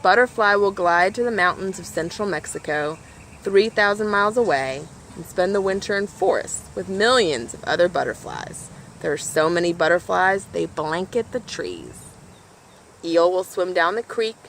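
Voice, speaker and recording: Lower-voiced woman reading a children's storybook. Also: lively intonation, normal speed, fairly bright tone, a little hiss or hum, poor audio. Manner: explanatory, addresses listeners directly. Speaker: storybook reader for a nature organization. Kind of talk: children's picture book read-aloud with asides pointing out pictures.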